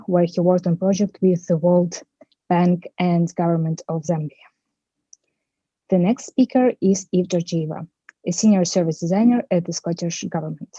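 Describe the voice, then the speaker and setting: A woman's voice: on the low side, dark tone, no echo, highs cut off. A woman moderating a panel on a video call, carefully and formally reading out the speakers' introductions.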